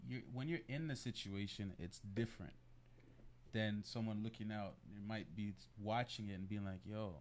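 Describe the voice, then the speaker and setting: A man answers questions as a guest on a talk show. His voice is a little low, and his delivery is casual and slangy, with frequent checks that the listener agrees. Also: moderate pace, slight background noise. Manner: informal, slangy, seeks agreement with "right?". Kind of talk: casual conversational interview answers.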